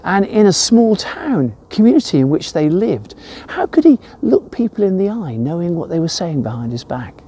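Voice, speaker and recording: A man's voice: high-pitched, very animated, moderate pace, warm tone, a little hiss or hum. An older man with an English accent giving an informal devotional talk in an emphatic way, with personal anecdotes. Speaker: church devotional presenter.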